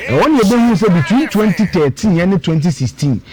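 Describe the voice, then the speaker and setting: A man's voice: high voice, expressive, brisk, crowd noise. A man with a Ghanaian accent explaining things emphatically and step by step in a radio discussion, switching between two languages. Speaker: guest political and economic commentator.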